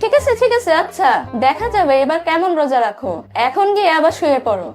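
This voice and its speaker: A woman plays an exasperated Bangladeshi woman from a comedy cartoon. Her voice is extremely high-pitched, with a sarcastic, scolding manner. Music is clearly heard behind her. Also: very animated, quick pace, a little mellow. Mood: placating. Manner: scolding, mocking.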